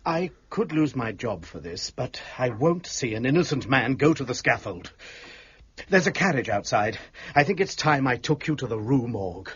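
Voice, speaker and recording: An actor playing an earnest, deferential and honest police inspector with a rough, husky voice in a period mystery drama. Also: male voice, medium pitch, very animated, moderate pace, fairly bright tone, dull audio, exaggerated voice. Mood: concerned.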